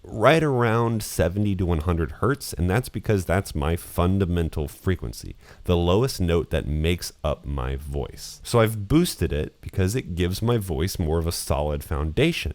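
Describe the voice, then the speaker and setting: A man with a deep voice and a touch of nasal quality talks through audio plugins at an easy, explanatory pace. He sounds dry and close on a studio condenser mic, with no room sound around him. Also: no room sound. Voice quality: slightly nasal voice. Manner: relaxed, explanatory, casual with fillers. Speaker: audio engineer teaching voice mixing. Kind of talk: screen-recorded mixing tutorial. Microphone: studio condenser microphone close up.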